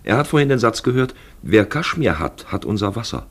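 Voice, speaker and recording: A man narrating a literary radio play as a grave storyteller, expressive and deliberate in his phrasing. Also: fairly low pitch. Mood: grave, intent. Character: grave male storyteller voicing a traveller's thoughts.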